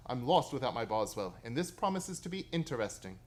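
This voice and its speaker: An actor in a radio play playing a shrewd Victorian detective, brisk, precise and courteous with a superior air. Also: male voice, mid-range voice, very animated. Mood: genial.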